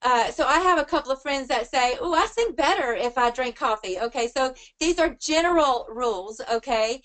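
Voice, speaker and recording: Female vocal coach, middle-aged, high register, chatty anecdotal teaching style. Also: animated, moderate pace, a bit bright. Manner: conversational, acts out quoted remarks. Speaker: vocal coach giving a webinar. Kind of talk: informal teaching with personal anecdotes.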